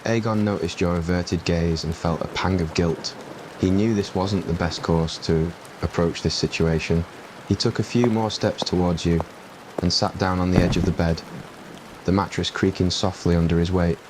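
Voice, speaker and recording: Casting: male ASMR storyteller, low register, little variation, scripted narration over rain noise. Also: fairly even intonation, moderate pace. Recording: clear rain noise behind voice. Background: rain ambience.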